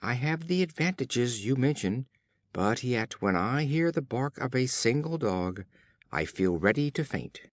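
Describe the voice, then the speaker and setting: A man reading as a gentle storybook mother deer who candidly admits her fears. The delivery is formal and slow, lifting and falling freely in a dry, close sound. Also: medium pitch, sing-song, dry recording. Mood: gentle, resigned. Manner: measured, formal storybook phrasing.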